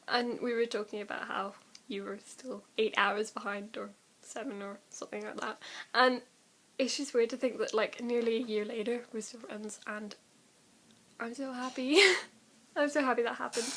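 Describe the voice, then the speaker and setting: A woman's voice: on the high side, moderate pace, gravelly, a bit bright. A young woman chatting casually and ramblingly to camera as an amateur vlogger, with a giggly, self-deprecating, informal manner.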